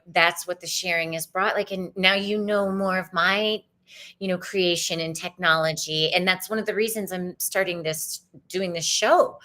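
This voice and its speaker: A woman's voice: on the low side, a bit bright. A woman of about fifty hosting a casual chat, effusive and slangy in the way she talks. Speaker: podcast host, astrologer. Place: closet recording setup.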